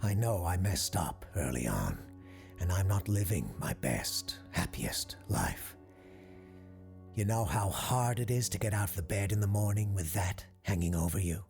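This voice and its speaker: A troubled working man, voiced by a male narrator gives plain-spoken testimony in an audio drama. He sounds weary and haunted, low and creaky, over music. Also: very animated, a little slow, heavily acted.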